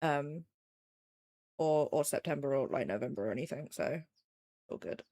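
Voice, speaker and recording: A woman's voice: extremely low pitch, expressive, moderate pace, mellow, no room sound, studio quality. A young English woman with a faint Midlands accent, chatting casually with her live-stream chat while she draws, rambling and self-deprecating. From England.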